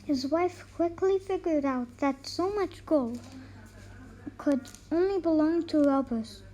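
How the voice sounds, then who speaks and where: A woman reads a children's folktale aloud in a very high voice. She goes slowly and haltingly, often tripping on a word and saying it again. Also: warm tone, a little hiss or hum, poor audio. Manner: halting, stumbles and repeats words. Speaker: storybook reader.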